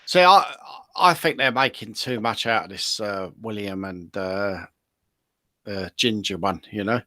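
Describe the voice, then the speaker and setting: A man's voice: on the low side, measured pace, pushed and bright, no echo. A man speaking as a talk show guest, giving opinions in casual, conversational chat.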